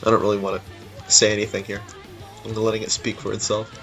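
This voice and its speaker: A man's voice: on the low side, monotone, quick pace, fairly bright tone. Rambling casual man over music. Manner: rambling, informal. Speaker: game level creator giving developer commentary. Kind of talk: casual unscripted gameplay commentary. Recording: music behind the voice. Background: game music.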